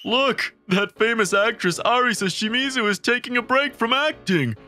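A man's voice: very high voice, lively intonation, normal speed, bright, forceful tone, soft background music, full character voice. A man voicing a mocking, cocky teenage delinquent bully in a manga dub. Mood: gossipy. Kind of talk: manga dub character acting.

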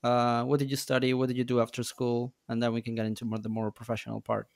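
A man's voice: mid-range voice, completely flat delivery, fast talker, creaky. A man in his thirties hosting a podcast, casually asking questions over a video call from a room at home, using a studio microphone. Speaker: podcast host. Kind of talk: conversational interview.

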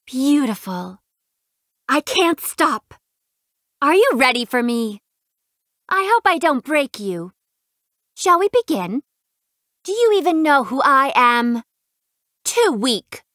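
A woman's voice: sits high, sing-song, slow pace, pauses often, a bit bright, no room sound, studio quality, full character voice. A woman voicing a haughty, refined rich young lady in a fighting game, with a prim, imperious, theatrical delivery. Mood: smug. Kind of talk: video game fight voice clips.

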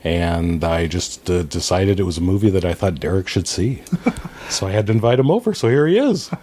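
A middle-aged man chatting casually as a podcast guest, pausing often to search for words. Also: deep voice, expressive, warm tone. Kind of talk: casual chat.

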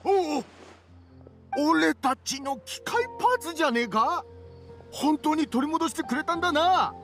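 An actor playing a rough-spoken, hearty and grateful merchant with a gravelly voice in a Japanese anime game. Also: male voice, extremely high pitch, lively intonation, unhurried, fairly bright tone, soft background music, heavily acted. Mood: grateful, surprised.

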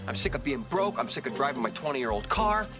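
An actor playing a fed-up, cynical schemer in a film comedy, talking persuasively and sardonically. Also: male voice, medium pitch, sing-song, quick pace, over music, close to an everyday voice. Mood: fired up, persuasive.

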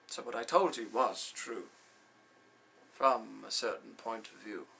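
A man performing a film monologue as an old, wise Jedi mentor, grave and wistful, with a measured storytelling manner. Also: lowish voice, gravelly, bright, forceful tone, a little hiss or hum, full character voice.

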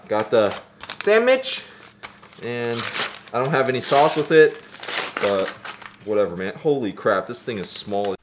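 A young man in his twenties whose first language is not English chats to a camera held close to him at home. He is excitable and slangy, and his pitch sits in the middle for a man. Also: slight background noise. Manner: excitable, slangy, says "dude" and "freaking" a lot. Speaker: vlogger. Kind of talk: casual vlog to camera. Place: car, then kitchen, then living room, indoors.

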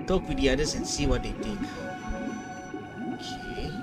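A man doing casual live reaction commentary as a game streamer, thinking aloud in halting phrases. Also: mid-range voice, lively intonation. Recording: distant mic under loud game audio. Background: game music and dialogue.

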